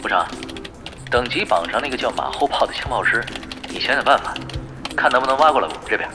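A man's voice: medium pitch, expressive, fast talker, music in the background, lightly acted. A man playing a laid-back, shameless pro gamer in an audio drama, casual and teasing. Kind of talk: Chinese audio drama acting.

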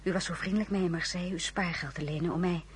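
A woman playing a spirited young mother and marshal's wife in a period radio drama, expressive and often dropping to a whisper. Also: fairly low pitch, fast, a little hiss or hum, lightly acted. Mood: astonished.

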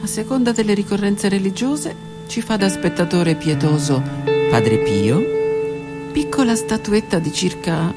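A woman reading her own literary text aloud on the radio, in a slow, intimate voice that often drops to a whisper. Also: medium pitch, lively intonation. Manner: slow, intimate, hushed delivery with whispered words. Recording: radio broadcast with faint music.

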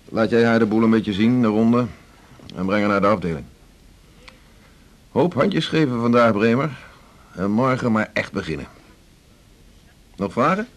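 Bossy older hospital doctor, low voice, wry and blunt, radio play style. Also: male voice, animated, moderate pace, pauses often. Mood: brisk, fatherly. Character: blunt, teasing senior doctor mentoring a young trainee. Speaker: actor. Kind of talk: 1980s Dutch radio drama acting.

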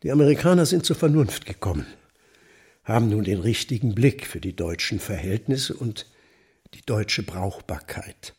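An actor playing a pompous, self-righteous older German nationalist mayor in a radio play, gravelly and husky, officious and declamatory. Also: male voice, fairly low pitch, lively intonation, moderate pace, a little mellow, full character voice. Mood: blustering self-righteousness.

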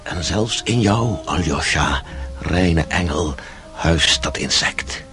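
Deep, slow, tormented Russian brooder. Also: male voice, lively intonation, over music, heavily acted. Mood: brooding, intense. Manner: brooding, rhetorical, dramatic. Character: tormented, passionate Russian man brooding on beauty and sin. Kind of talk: radio drama monologue.

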